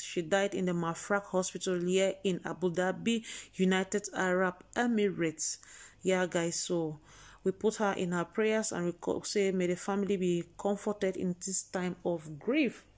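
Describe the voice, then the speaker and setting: A woman's voice: mid-range voice, darkish tone. A woman hosting a news vlog, chatty and exclaiming, repeating her points to the viewers. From Cameroon. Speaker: vlog host.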